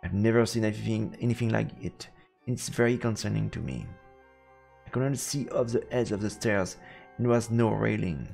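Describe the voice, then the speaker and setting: A man solemnly narrating a fantasy game on a livestream, measured and dramatic, in big reverb over music. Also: fairly low pitch, vocal fry, a little mellow. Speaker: let's play streamer narrating. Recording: echoey, long reverb, music behind. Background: background music.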